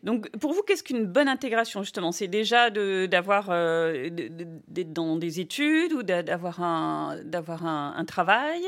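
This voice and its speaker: A woman's voice: on the low side, animated, a little slow, few pauses. A woman interviewing a guest, asking probing questions with many hesitant restarts. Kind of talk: conversational interview.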